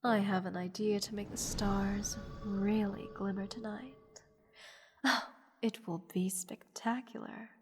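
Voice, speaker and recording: A woman voicing a playful, haughty goddess in an intimate fantasy audio roleplay, teasing and condescending toward a captive mortal in measured, theatrical speech. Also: medium pitch, fairly even intonation, close to an everyday voice. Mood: gleeful, condescending. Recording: long reverb with music behind. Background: orchestral music.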